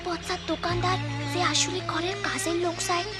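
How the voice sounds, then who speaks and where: A woman's voice: extremely high pitch, steady tone, moderate pace, over loud music. A woman voicing an earnest, caring and outspoken young village girl in a cartoon. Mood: concerned, curious. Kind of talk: cartoon voice acting.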